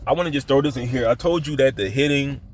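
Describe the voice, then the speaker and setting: A man with a Philadelphia accent and heavily stressed O's, telling personal stories in a rambling, halting way that often circles back and repeats. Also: mid-range voice, a little hiss or hum. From Philadelphia, United States. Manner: stresses his O vowels; halting, repetitive, circles back to his point. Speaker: vlogger.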